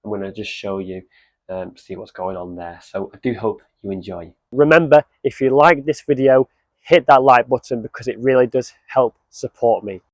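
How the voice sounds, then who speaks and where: A man with a cold and a slightly croaky voice, chatting casually in a gardening vlog. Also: medium pitch, normal speed. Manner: chatty, relaxed, with many fillers. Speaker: allotment vlogger. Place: outdoors at an allotment.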